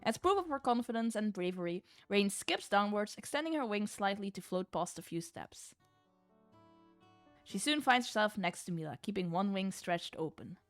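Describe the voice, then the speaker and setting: A woman's voice: medium pitch, lively intonation, normal speed. A woman streamer reading a visual novel aloud like a storybook, dropping in casual, playful, sweary asides. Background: music.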